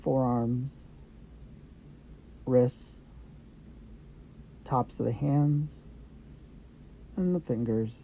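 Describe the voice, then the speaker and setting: Man, meditation guide, slow delivery, gentle short phrases with pauses, slightly muffled lo-fi recording. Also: medium pitch, creaky. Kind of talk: guided meditation, Yoga Nidra. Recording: dull lo-fi audio with background noise.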